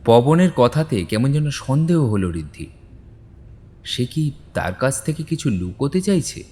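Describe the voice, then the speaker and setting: A man's voice: mid-range voice, sing-song, a little slow, darkish tone. Reverberant male story narrator. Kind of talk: scripted audio story narration. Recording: long hall-like reverb.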